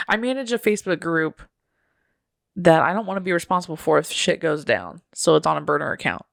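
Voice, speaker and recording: A woman's voice: low voice, lively intonation, a little fast, creaky, dry recording, studio quality. A woman co-hosting a podcast, chatting casually with blunt remarks and free swearing. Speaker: podcast co-host. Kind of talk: casual chat.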